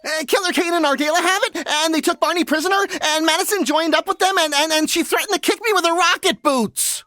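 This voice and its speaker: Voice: extremely high pitch, quick pace, bright, forceful tone, dry recording. A performer playing a timid young boy who stammers, in a 1930s radio serial style.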